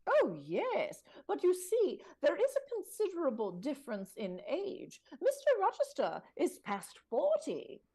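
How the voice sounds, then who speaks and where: Give me a gossipy, kindly older housekeeper from old-time radio, fussy and confiding, pitch swooping dramatically up and down. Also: female voice, wildly swooping pitch, unhurried, few pauses, mellow, full character voice. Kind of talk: vintage radio drama acting.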